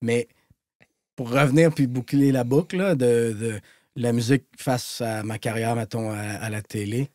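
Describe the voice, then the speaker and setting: A man in his late thirties with a Québécois accent, a guest musician talking casually on a podcast, intense and trailing off softly at the ends of phrases. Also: medium pitch, steady tone, normal speed, no room sound, clean studio sound. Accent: Québécois French. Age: 30s.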